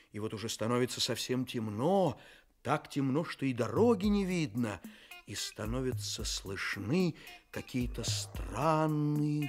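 A man playing an engaging fairy-tale narrator, addressing listeners expressively in a children's radio play. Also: medium pitch.

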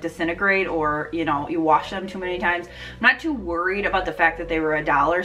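A woman's voice: lowish voice, fairly bright tone, low-quality recording. A woman in her thirties chatting casually and enthusiastically, gushing her way through a shopping haul as a vlogger. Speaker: home decor vlogger.

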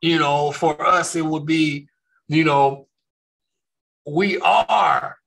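A man's voice: fairly high pitch, vocal fry, a bit bright, rough recording. A man from California, hosting a faith discussion, talking earnestly with many restarts. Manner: slow, earnest, with frequent restarts. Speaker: ministry discussion host.

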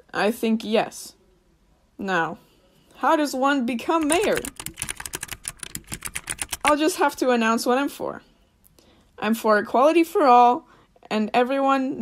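A woman acting a grieving, volatile young man with big ambitions in an amateur radio play, emotional and halting when upset. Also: a little high, lively intonation. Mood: determined.

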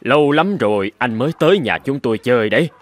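A man playing a courteous country gentleman in an animated fairy tale, speaking politely and formally with deliberate phrasing. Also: mid-range voice, lightly acted. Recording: background music under the voice.

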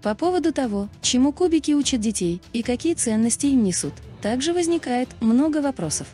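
Female narrator, scripted explainer read; soft-edged tone, very varied intonation, music bed. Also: high-pitched, animated, brisk. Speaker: video narrator. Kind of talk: scripted documentary-style narration. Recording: music behind the voice. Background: background music.

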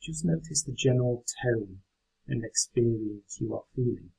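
Soft, husky man guiding meditation. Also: mid-range voice, low-quality recording. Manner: gentle, unhurried instruction. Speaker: meditation guide. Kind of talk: guided meditation.

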